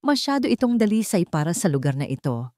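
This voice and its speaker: Casting: female narrator for dramatic love stories, slightly low pitch, soft dark tone, scripted read. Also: very animated, fast, no echo, studio quality. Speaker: story narrator.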